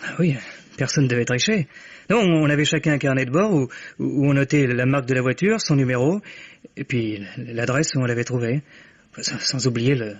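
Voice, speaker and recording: An actor playing a naive, cocky teenage joyrider in a French radio mystery, stammering and hesitating earnestly. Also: male voice, mid-range voice, brisk, lightly acted. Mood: sheepish, proud. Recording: muffled vintage radio recording.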